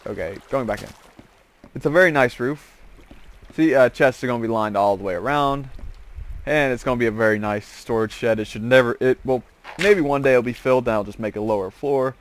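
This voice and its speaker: A man telling a casual story as he hosts gameplay, rambling and halting, often repeating and restarting his phrases. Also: medium pitch. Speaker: host of a gaming series.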